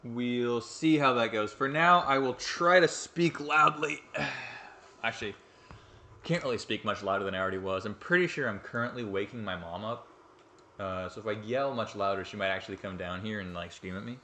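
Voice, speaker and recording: A man's voice: fairly low pitch, moderate pace, bright, forceful tone, roomy sound, slight background noise. A young man casually commenting on his live game stream at home, rambling, joking at his own expense and swearing freely. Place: home room at night.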